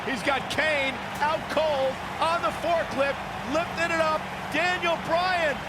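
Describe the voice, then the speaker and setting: A man calling wrestling play-by-play in an excited, emphatic shout, repeating phrases for effect. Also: very high-pitched, takes it slowly. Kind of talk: excited sports commentary. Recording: loud noisy arena crowd. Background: roaring arena crowd.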